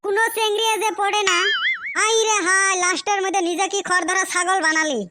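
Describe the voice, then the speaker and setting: Squeaky chipmunk-pitched cartoon village boy. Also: brisk, heavy background noise. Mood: boastful, mocking. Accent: Lower Assam rural. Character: brash lovesick village college boy, shy yet proud. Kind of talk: comic meme cartoon dialogue.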